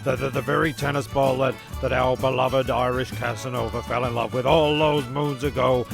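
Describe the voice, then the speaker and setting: Guy doing a grumpy aussie narrator, super gravelly, cynical and sweary, rambles and stumbles, music under him. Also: mid-range voice, fairly even intonation, normal speed, lightly acted. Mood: sardonic recap. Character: grumpy, foul-mouthed, rambling male narrator of a comic island serial. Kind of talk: comic scripted narration.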